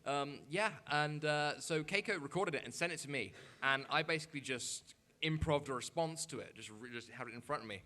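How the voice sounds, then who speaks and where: A young man talking casually on a convention panel, halting and scattered as he fields questions from the audience. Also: a little high, pushed and bright. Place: convention meeting room with audience. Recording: live convention room recording.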